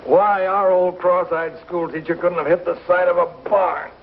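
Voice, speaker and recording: Easygoing folksy husband, lightish voice. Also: male voice, high-pitched, flat delivery, normal speed, vocal fry. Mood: teasing, dry. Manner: folksy, teasing, unserious. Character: easygoing, wisecracking small-town husband. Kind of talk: 1950s television Western acting. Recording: dull, faintly noisy 1950s TV soundtrack.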